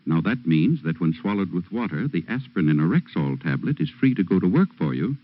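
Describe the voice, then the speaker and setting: A man's voice: deep voice, big pitch swings, a little fast, no echo. A man delivering a scripted old-time radio advertisement in an earnest, explanatory way. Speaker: commercial pitchman. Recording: dry, dull vintage radio recording.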